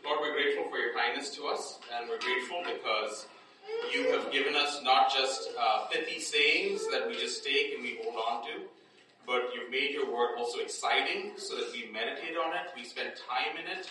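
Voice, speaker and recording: A man leads a Bible study in an echoey indoor room, his voice coming from some distance away. His tone is very bright and his pitch is middling. He talks in a loose, conversational way and keeps checking in with the group. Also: normal speed, a little hiss or hum. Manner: conversational, frequent "right?" checks and restarts. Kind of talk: casual teaching, then spoken prayer. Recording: echoey room, distant mic, faint ambience.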